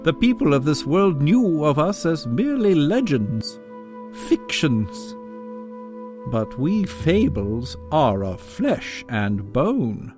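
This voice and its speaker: Man acting a slow, solemn fairy-tale storyteller. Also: mid-range voice. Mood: resolute. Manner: grave, dramatic, deliberate. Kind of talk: scripted dramatic prologue narration.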